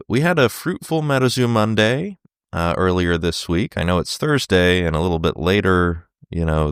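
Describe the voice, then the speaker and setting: Deep, smooth-voiced sick man hosting. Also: dry recording, clean studio sound. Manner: clears throat, many fillers. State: sick, sore throat, losing his voice. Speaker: podcast host. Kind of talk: casual solo podcast.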